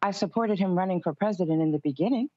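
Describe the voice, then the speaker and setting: A woman speaking as a political commentator in a live interview, measured and careful in how she answers. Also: on the low side, no echo, muffled recording.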